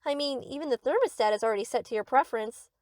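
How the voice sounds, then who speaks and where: A woman's voice: high-pitched, quick pace, a little mellow, no room sound, clean studio sound, close to an everyday voice. A woman playing a sweet, eager, obsessive young yandere best friend in an anime-style audio roleplay, gushing and theatrical. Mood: proudly doting.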